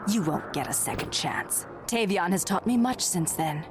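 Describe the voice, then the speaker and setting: An actress playing a haughty, menacing cult leader in a video game, with theatrical, taunting, drawn-out delivery under loud music. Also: female voice, fairly low pitch, animated, very noisy, lightly acted. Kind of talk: video game cutscene acting.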